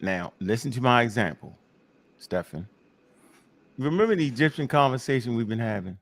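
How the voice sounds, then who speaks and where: A man's voice: mid-range voice, lively intonation, normal speed, creaky. A man hosting a casual talk show. He rants hard, swears a lot and trips over many restarts as he goes. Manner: fiery, profane, rambling with many restarts. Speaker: show host.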